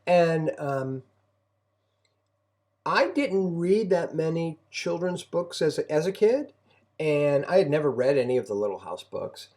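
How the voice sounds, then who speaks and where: A middle-aged man talking conversationally as a parent and co-host, reflective and halting, often repeating phrases. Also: fairly high pitch, animated, darkish tone.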